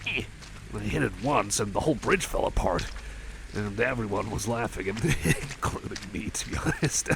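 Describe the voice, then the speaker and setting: A man's voice: fairly low pitch, animated, moderate pace, vocal fry, noisy background. A man playing a shy, friendly cyborg companion in an ASMR roleplay, rambling casually with hesitant, self-deprecating stammers and an eagerness to please. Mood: sheepish, amused.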